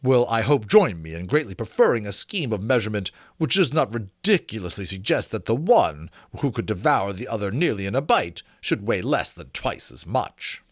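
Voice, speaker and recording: Guy doing a stuffy gentleman naturalist from a storybook, formal n emphatic, voice goes up and down loads, dry sound. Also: on the low side, sing-song, moderate pace, few pauses, dry recording. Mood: pompous, indignant. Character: pompous learned Georgian gentleman scholar writing on dragons. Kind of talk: dramatic storybook reading.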